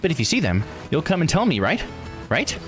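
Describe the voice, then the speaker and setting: A man plays a bubbly, nosy island woman in a visual novel game. The voice is lifted well up in pitch and swings about with gushing excitement, over soft music. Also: brisk. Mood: eager. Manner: gushing, exclamatory.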